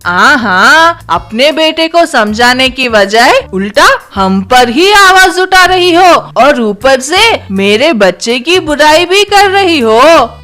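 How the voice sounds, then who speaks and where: Actress as quarrelsome young wife and mother, high voice, deliberate pace, indignant scolding, cartoon story, faint music. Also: sing-song, pushed and bright, heavily acted. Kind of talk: Hindi animated comedy story acting.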